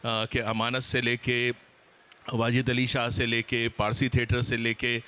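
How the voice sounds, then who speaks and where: A man's voice: mid-range voice, completely flat delivery, measured pace, bright, forceful tone, smooth. A man giving an explanatory talk as a panelist at a seminar, checking that listeners follow.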